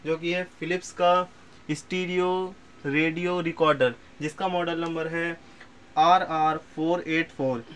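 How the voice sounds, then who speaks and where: A man with a fairly high voice presents goods for sale in a casual demonstration. He explains step by step and often repeats himself. The amateur room recording has steady background noise. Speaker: seller presenting second-hand audio equipment.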